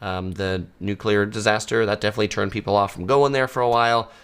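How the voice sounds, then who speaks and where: Male travel host, low-pitched, unhurried, filler-heavy conversational delivery, live Q&A feel. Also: clear, resonant tone. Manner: relaxed, conversational, many ums and uhs. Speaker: travel channel host answering viewer questions. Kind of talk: casual live Q&A. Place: home studio.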